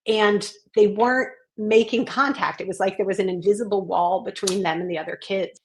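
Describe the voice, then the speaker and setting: Woman, podcast guest, mid pitch, earnest, reflective storytelling, animated at times. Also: fairly quick. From Maine, US. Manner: earnest, reflective, animated when telling stories. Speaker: guest, education consultant and author.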